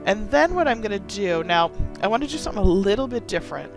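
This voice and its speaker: A hoarse woman from Wisconsin hosting a casual card-making tutorial, talking with gushing enthusiasm. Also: mid-range voice, expressive, quick pace, over music. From Wisconsin, USA. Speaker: craft channel host.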